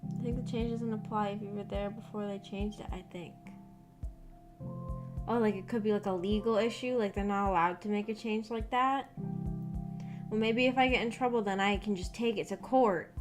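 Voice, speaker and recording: A woman's voice: medium pitch, steady tone, moderate pace. A woman streamer chatting casually with viewers, telling stories in a rambling, hesitant way and reading out comments as she goes. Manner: rambling, hesitant storytelling with frequent "like". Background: background music.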